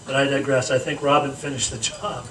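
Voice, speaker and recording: A man's voice: mid-range voice, flat delivery, a little fast, fairly bright tone. Man reading a roast in an echoey room. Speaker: speaker reading a roast tribute aloud. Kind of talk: comedic roast speech read aloud to a gathering. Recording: echoey room, distant mic, noisy background.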